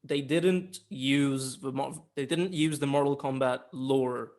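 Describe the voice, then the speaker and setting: A man co-hosting a podcast, explaining and discussing a film in a casual, measured conversation. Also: mid-range voice, a little mellow. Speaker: podcast co-host. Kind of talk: casual film review chat.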